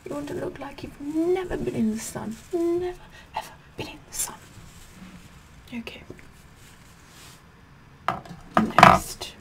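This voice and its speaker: A woman playing a sassy, vain, bossy queen in an ASMR roleplay, half-whispering in a pompous, deliberate manner. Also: fairly high pitch, creaky, background noise. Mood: bossy, instructive. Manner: pompous, deliberate, repeats words softly. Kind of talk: ASMR roleplay makeup tutorial, whispered and soft-spoken.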